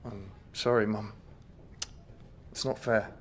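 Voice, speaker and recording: An actor playing a young man sectioned in a psychiatric hospital, speaking haltingly and stammering under medication. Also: lowish voice, steady tone, heavy background noise. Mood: despairing. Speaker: psychiatric patient. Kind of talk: radio play acting.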